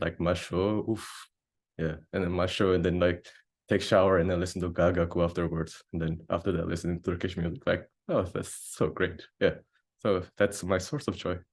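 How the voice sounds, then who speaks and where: A young man from Indonesia, a composer and grad student, introducing himself casually on a video call with many fillers and restarts. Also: sits low. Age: young adult.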